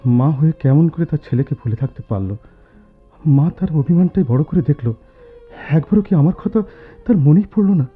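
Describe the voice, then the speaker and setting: A man's voice: a little high, lively intonation, brisk, husky. A man playing a brooding, proud and wounded young son in an earnest radio drama. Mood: hurt, agitated. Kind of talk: Bengali radio drama acting. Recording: thin phone-like audio over music.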